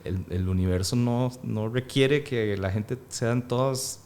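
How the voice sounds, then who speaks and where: A man in his thirties, born in Peru and speaking with a Costa Rican accent, talks thoughtfully as a guest, with many pauses and fillers. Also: mid-range voice, a little mellow. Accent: Costa Rican Spanish. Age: 30s. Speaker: actor and guest. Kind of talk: casual interview.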